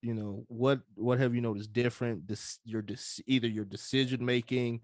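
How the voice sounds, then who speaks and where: An energetic man who talks loudly and with a lot of hype, hosting a casual podcast chat. His voice is close and dry, with no room sound. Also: medium pitch, moderate pace, no echo. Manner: loud, hyped, energetic. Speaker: podcast host, boxing coach.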